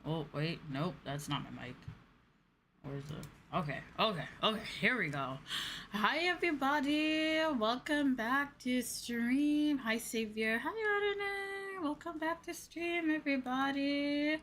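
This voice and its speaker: A woman's voice: high voice, lively intonation, measured pace, fairly bright tone, a little hiss or hum. A woman hosting a livestream, chatting casually and informally, repeating herself for emphasis. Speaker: VTuber streamer hosting a chat stream. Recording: background music behind voice. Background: music.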